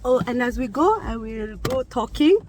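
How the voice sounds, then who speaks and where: A woman's voice: fairly high pitch, lively intonation, normal speed. A Kenyan woman in her fifties with a Kikuyu accent, chatting casually to her viewers with many restarts. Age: 50s. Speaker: vlogger. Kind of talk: casual vlog talk to viewers. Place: outdoors near a busy street. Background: passing traffic.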